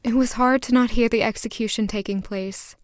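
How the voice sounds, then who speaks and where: An actress plays a hopeful, smitten young woman in an audio drama. Her voice sits on the high side and carries an earnest, wistful tone as she confides her thoughts. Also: fairly even intonation, brisk, slight background noise, lightly acted. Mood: pensive, curious. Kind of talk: audio drama narration and dialogue.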